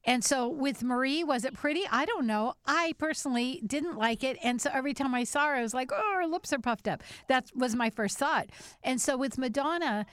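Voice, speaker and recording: Middle-aged female radio host, smooth, dry sound, earnest and conversational. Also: high-pitched, a little fast, fairly bright tone, dry recording. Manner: earnest, thinks aloud with restarts. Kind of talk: casual panel chat.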